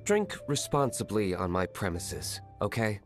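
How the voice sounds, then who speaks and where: A man voicing a stern, guarded young tavern owner in a video game cutscene, cool and deadpan. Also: on the low side, measured pace, faint music behind. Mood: dry, stern.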